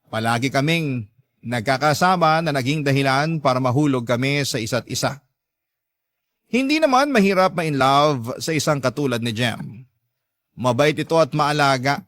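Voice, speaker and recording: A man with a Filipino accent hosting and narrating a scripted dramatic story in a measured, sentimental way. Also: on the high side, a bit bright, no room sound, clean studio sound. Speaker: storytelling host. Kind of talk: scripted dramatic narration, reading a listener's letter.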